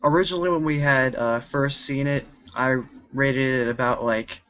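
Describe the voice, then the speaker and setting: A man's voice: mid-range voice, fairly even intonation, normal speed, fairly bright tone, smooth, lo-fi sound. A young man talking casually as an amateur film critic, informal and loosely rambling. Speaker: amateur film critic on a group review show. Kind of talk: casual review chat.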